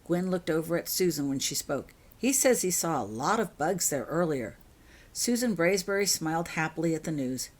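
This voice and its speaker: A woman narrates a story she wrote herself. Her voice is slightly low, and she reads from a script in storybook fashion. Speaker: author reading own fiction.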